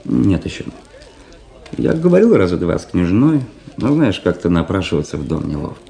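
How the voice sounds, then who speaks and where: Low-voiced, swooping, vain young cadet. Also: male voice, very animated, normal speed, creaky, dark tone, full character voice. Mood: earnest, wistful. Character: vain, self-conscious young cadet, earnest and touchy. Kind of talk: radio drama dialogue.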